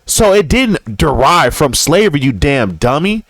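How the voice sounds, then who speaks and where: A man's voice: fairly high pitch, sing-song, a little slow, a bit bright, no echo. An American man giving casual, slangy reaction commentary as a co-host, sounding mocking and exasperated.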